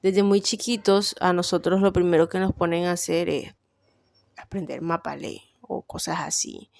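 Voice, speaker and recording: A woman's voice: lowish voice, fairly even intonation, moderate pace, vocal fry, a little mellow, no room sound, clean studio sound. A young woman with a Colombian Caribbean coast accent, chatting casually about herself and thinking aloud with frequent fillers. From Barranquilla, Colombia. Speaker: vlogger. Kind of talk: casual personal chat.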